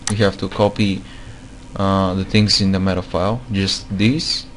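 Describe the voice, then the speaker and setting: A man with a non-native accent walks through a game-modding tutorial at a slow, unhurried pace. His voice sits a little low, and he stops often for fillers and restarts. A faint background hum runs under his desktop mic. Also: level tone. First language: not English. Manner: hesitant, many fillers, repeats himself. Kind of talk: screen-recorded tutorial.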